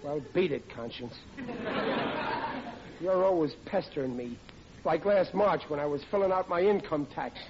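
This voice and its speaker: Through heavy noise and a muffled old radio sound comes a man playing a blustering, easily offended family man. His voice sits high for a man, with rough New working-class grammar. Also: normal speed, pauses often, crowd noise, natural voice. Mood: aggrieved. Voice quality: ungrammatical working-class speech. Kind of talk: 1940s radio comedy acting.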